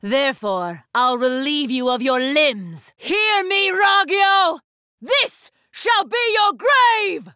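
A woman's voice: high-pitched, bright, forceful tone, dry recording, clean studio sound, heavily acted. An actress playing an imperious, ruthless young woman warrior, speaking in formal, haughty declamations for an anime fighting game. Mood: furious, defiant.

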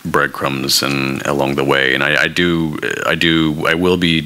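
A man on stage at a live panel, talking in a halting, monotone way and repeating his words. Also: extremely low pitch, clean tone. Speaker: guest cartoonist. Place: large hall stage.

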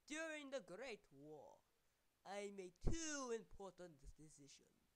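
A woman's voice: mid-range voice, big pitch swings, takes it slowly, low-quality recording. A woman playing a proud, formal elderly male statesman in a theatrical, declamatory historical monologue. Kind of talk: scripted first-person historical monologue.

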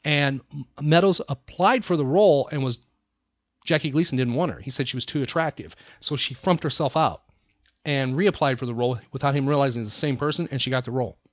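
A man's voice: mid-range voice, brisk, dry recording. An American man hosting a casual trivia chat, asking questions and explaining facts in short phrases. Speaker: podcast host and trivia quizmaster. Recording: slightly dull, lo-fi sound.

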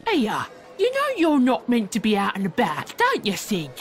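A woman's voice: a little high, huge pitch swings, moderate pace, slight background noise. An actress playing a nosy, teasing village woman with a British accent and colloquial, mocking talk in a comic audio drama. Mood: cheeky, mocking.

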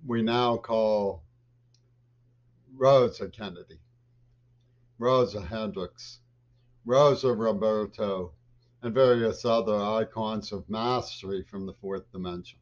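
An older man in a small home office talks to his webcam in a rambling political monologue, jumping between loud bursts and low mutters. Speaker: vlogger. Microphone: webcam at desk. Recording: dull lo-fi webcam audio.

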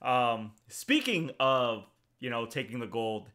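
A relaxed man in his thirties hosts a casual sports show from home. His voice is bright, with an average pitch and an easy, unhurried delivery into a headset. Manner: relaxed, easygoing, laughs often. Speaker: podcast host.

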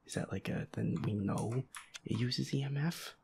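A young man giving casual gameplay commentary on a horror game, swearing and muttering jitterily in a hushed, breathy voice. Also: mid-range voice, moderate pace, a little hiss or hum. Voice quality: breathy, hushed, often whispering. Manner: profane, jittery, muttering to himself and at the game's ghost. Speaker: gamer playing a horror game.

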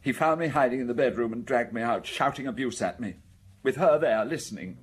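An actor in a radio drama playing a wretched middle-aged suspect, stammering, evasive and full of self-pity. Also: male voice, medium pitch, a little fast, slightly rough, natural voice. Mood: frightened, confessing.

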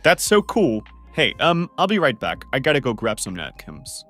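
A man's voice: medium pitch, wildly swooping pitch, moderate pace, fairly bright tone, soft background music. A young man voicing a popular teenage boy who is nervous and stammers a lot, juggling two dates. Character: popular teen boy, flustered two-timer. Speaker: voice actor in a fan-made game drama.

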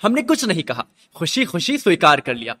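A man's voice: on the high side, huge pitch swings, brisk, exaggerated voice. A man playing a stern, domineering royal father-in-law, accusing and angry, in a radio drama. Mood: furious. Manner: forceful, accusatory. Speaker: actor in a student radio drama. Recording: long hall-like reverb.

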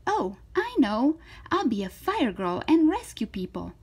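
Storybook role: bold little girl, played by a woman, eager and defiant, high lively pitch with a slightly husky edge. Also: a little slow, exaggerated voice. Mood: proud, confident. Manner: emphatic, eager, boastful. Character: spirited, confident, defiant little girl with big dreams. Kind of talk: children's storybook character voice.

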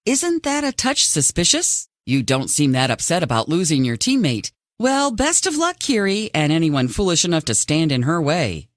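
A low, swooping show announcer. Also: female voice, very animated, moderate pace, no room sound, clean studio sound. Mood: teasing, dramatic. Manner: arch, mock-dramatic. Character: arch, teasing reality-show announcer and interviewer. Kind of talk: video game voice acting, show commentary.

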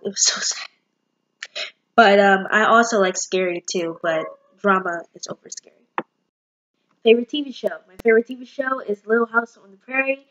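A woman's voice: mid-range voice, a bit bright, dull audio. 19 yr old girl on a webcam in her room, bubbly chatty vlog, sings bits now and then. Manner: bubbly and chatty, breaks into sung phrases. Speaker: vlogger. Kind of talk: casual vlog chat. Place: small indoor room at home, on a sofa.

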